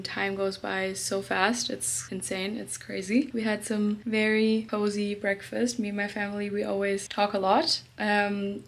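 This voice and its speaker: A young woman in her twenties chats into a handheld camera in a hotel room. Her delivery is upbeat and casual but her pitch stays fairly even. A faint hum of the room sits under her voice. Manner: chatty, upbeat, says "literally" and "really" a lot. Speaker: vlogger. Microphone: handheld camera at arm's length.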